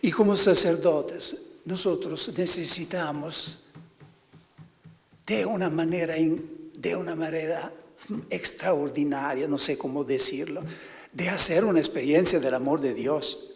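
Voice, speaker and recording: A man, a priest, giving a spiritual talk to fellow priests, halting and often stopping to search for words. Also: on the high side, vocal fry, in a large hall.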